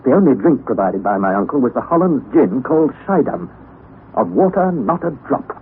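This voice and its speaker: Young man, first-person narration, lively intonation, tinny hissy old radio sound. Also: medium pitch. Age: young adult. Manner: measured, listing carefully.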